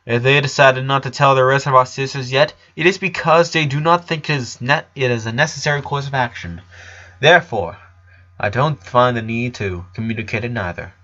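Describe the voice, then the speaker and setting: A man's voice: mid-range voice, a little fast, pushed and bright, lo-fi sound, natural voice. A man voicing a precocious four-year-old genius girl with formal, wordy, matter-of-fact speech, in a cartoon fan fiction reading. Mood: clinical, calm.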